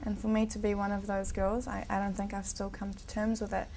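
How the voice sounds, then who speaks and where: A woman in her twenties from South Africa, Afrikaans her first language, chatting earnestly and brightly as an interview guest. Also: mid-range voice, little pitch movement, brisk, slight background noise. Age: 20s. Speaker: interview guest, pageant titleholder. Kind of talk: casual interview chat.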